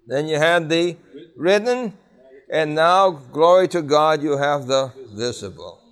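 A man teaching a Bible class, conversational and emphatic, often asking the students questions. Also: fairly high pitch. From New York City.

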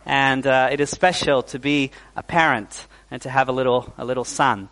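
A man's voice: mid-range voice, fairly even intonation, normal speed, low-quality recording. A young man from New Zealand preaching a sermon as pastor to a congregation, often saying "uh" and calling for "amen". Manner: warm, fillers like "uh", calls for "amen".

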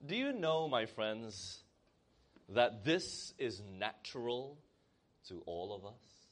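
A man's voice: fairly low pitch, sing-song, slow pace. A man preaching a sermon, reading scripture aloud and often asking the congregation to answer amen. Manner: reads scripture aloud in old-style wording.

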